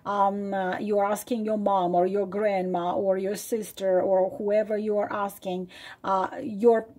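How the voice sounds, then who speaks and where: Woman, tarot reading, mellow husky tone, repeats lines, emphatic. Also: mid-range voice, flat delivery, measured pace. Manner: drops to a soft breathy near-whisper at times. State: losing her voice. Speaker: tarot reader and psychic. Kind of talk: spontaneous spoken tarot reading to viewers.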